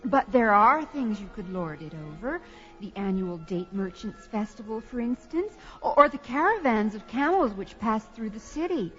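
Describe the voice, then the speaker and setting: A woman's voice: on the high side, sing-song, moderate pace. An actress playing a young desert queen in an audio fantasy drama, regal, coy and airily haughty. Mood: airily condescending. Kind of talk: audio sword-and-sorcery drama acting. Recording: dull lo-fi audio, faint music.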